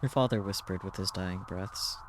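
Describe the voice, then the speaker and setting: A man's voice: low-pitched, moderate pace, background noise. A man reading a visual novel aloud on a stream as dramatic story narration.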